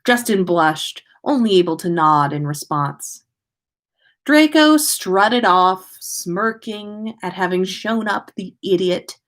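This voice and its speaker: A woman's voice: medium pitch, lively intonation, takes it slowly. A woman hosting a casual story livestream, reading aloud expressively and chatting with listeners. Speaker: podcast host reading listener fan fiction aloud.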